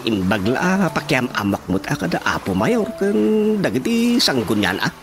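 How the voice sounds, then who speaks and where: Animated Ilocano councilman played by a man. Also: mid-range voice, moderate pace, over music. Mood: eager. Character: eager, boastful male village councilman hosting a feast. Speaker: radio drama voice actor. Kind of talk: Ilocano radio drama comedy acting.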